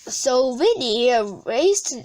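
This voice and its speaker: A woman reading a children's story aloud expressively, halting and stumbling over words now and then. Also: high voice, animated, a little mellow, poor audio. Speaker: storybook reader.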